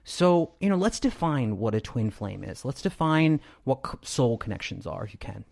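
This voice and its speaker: A man's voice: mid-range voice, very animated, moderate pace, gravelly, a little mellow. Man hosting a conversational podcast interview.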